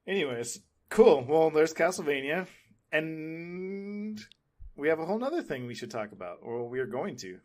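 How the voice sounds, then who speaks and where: A man's voice: a little high, very animated, moderate pace. A man co-hosting a casual pop-culture podcast, talking like an enthusiastic fan with plenty of "like" and repeated phrases. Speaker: podcast co-host.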